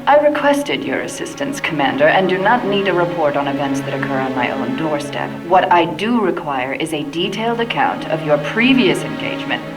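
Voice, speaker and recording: Imperious low-voiced older female scientist. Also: expressive, brisk, hardly any pauses, music in the background, rough recording. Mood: cold, imperious. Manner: clipped, haughty, formal, precise. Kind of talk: video game cinematic acting.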